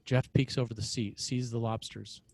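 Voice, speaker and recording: A man's voice: medium pitch, level tone, normal speed, creaky, darkish tone, dry recording. A man reads scripted scene directions as the narrator of a screenplay table read.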